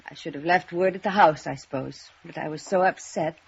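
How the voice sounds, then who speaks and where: A refined, anxious lady of middle age in vintage radio melodrama. Her voice is husky and breathy, with hesitant phrasing. The old recording is dull and muffled. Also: fairly low pitch, fairly quick, dry recording, exaggerated voice. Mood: apologetic. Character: anxious, refined, mature woman with a hidden past. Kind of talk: 1950s radio melodrama acting.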